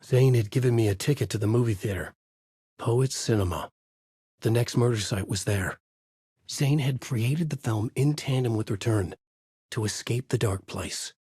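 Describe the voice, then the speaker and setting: A man acting as a haunted, desperate writer in a psychological horror game. His voice is husky and breathy at mid pitch, recorded very dry and close. He delivers everything with brooding, dramatic intensity. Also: moderate pace, warm tone, dry recording, natural voice. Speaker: voice actor.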